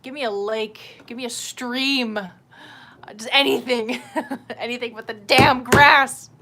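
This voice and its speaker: A woman's voice: a little high, fairly even intonation, measured pace, bright, forceful tone, slight background noise. A woman chatting casually on a livestream, rambling in a candid, sweary and self-deprecating way. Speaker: livestream host.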